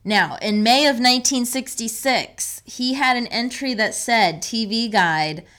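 A woman's voice: a little high, measured pace, fairly bright tone. A woman co-hosting a podcast in casual, conversational talk. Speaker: podcast co-host. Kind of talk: casual chat.

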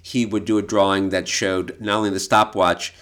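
Low-voiced man co-hosting a podcast. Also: flat delivery, normal speed, fairly bright tone. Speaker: podcast co-host, art expert. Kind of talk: casual podcast conversation.

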